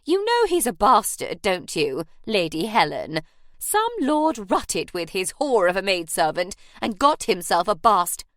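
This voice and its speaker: A woman voicing a spiteful, shrieking woman employer who scolds loudly in an audiobook. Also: sing-song, measured pace, few pauses, no room sound, studio quality. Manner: loud, spiteful, shrill scolding.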